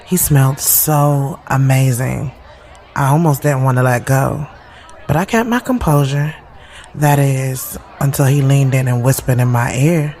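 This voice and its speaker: A woman's voice: rumbling low, measured pace. A woman playing a sassy, candid thirty-year-old who narrates her love story in an audio drama, frank and slangy. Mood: confiding, smitten. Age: 30s. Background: loud music.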